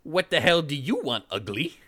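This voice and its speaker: A man voicing a nasty, mocking female witch with high sneering taunts. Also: sing-song, moderate pace, bright, forceful tone, no room sound, exaggerated voice. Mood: taunting.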